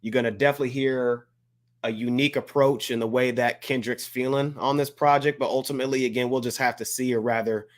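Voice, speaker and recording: A man's voice: mid-range voice, steady tone, fast. Slangy man chatting on a panel. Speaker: panelist on a hip hop talk show. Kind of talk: casual panel chat.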